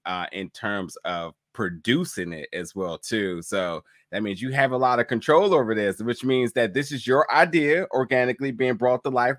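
A man's voice: mid-range voice, a bit bright, no room sound, clean studio sound. A man in his thirties hosting an online interview channel, upbeat and speaking straight to his viewers. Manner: upbeat, addresses viewers as folks. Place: home gaming room.

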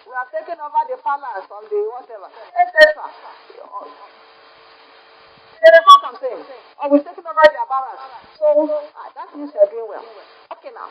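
A woman's voice: high-pitched, highs cut off. A woman, a religious sister, preaching a retreat sermon in a church, emphatic and repeating words for effect. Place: church sanctuary by the altar.

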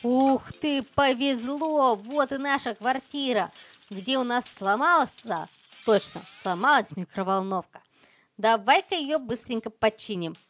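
A woman with a high voice commentates casually on a game for little kids. She sounds chirpy and exclamatory, sprinkling in playful diminutives as she speaks straight to her young viewers. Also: measured pace, fairly bright tone. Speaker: host of a children's gaming channel.

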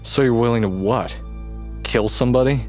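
A man's voice: medium pitch, moderate pace, darkish tone, lightly acted. An actor playing a troubled, weary man in his late twenties in an audio drama, guarded and stammering. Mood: agitated, resistant. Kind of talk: naturalistic audio drama acting. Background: music.

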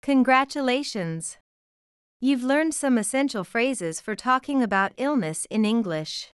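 A woman reading an English lesson, saying example phrases slowly and clearly. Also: fairly high pitch, lively intonation, no echo, studio quality. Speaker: English teacher. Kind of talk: scripted lesson narration.

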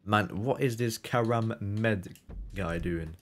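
A man's voice: fairly low pitch, slow, a bit bright. A young man streaming a game live, reading chat aloud and answering it with incredulous, laughing banter.

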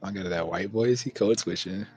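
A man bantering casually during an amateur game dub. His voice is low and husky, his talk slangy, and faint music plays behind it. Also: fast, darkish tone, no echo. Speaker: fandub performer.

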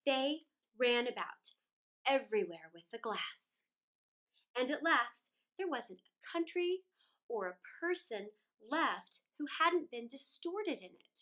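A middle-aged woman reading a children's story aloud in a theatrical, deliberate way, drawing out words with dramatic pauses. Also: high voice, sing-song, a bit bright. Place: small indoor room against a plain wall.

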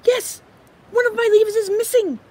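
A woman voicing an excitable, easily panicked squirrel in a melodramatic, exclamatory children's storybook read-aloud. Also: extremely high pitch, normal speed, voice pushed up. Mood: panicked, accusing.

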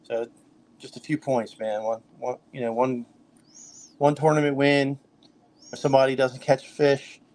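A man's voice: medium pitch, flat delivery, moderate pace, long pauses, darkish tone. A middle-aged man from the Mid-US, chatting casually as a guest on a podcast, with loose talk full of fillers and restarts. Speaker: kayak fishing trail director.

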